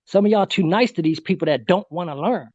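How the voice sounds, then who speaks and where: A man's voice: high-pitched, darkish tone, dry recording. A man on a live stream who rants in a preachy way, scolding others for being too nice. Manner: rapid ranting, preachy. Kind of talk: casual live-stream chat. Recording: dry lo-fi line.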